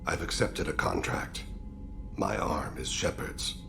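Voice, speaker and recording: Very deep, gravelly alien assassin. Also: male voice, over music, full character voice. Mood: calm, courteous. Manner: formal, measured, solemn. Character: soft-spoken, courteous, dying male alien assassin, philosophical. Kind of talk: video game cutscene acting.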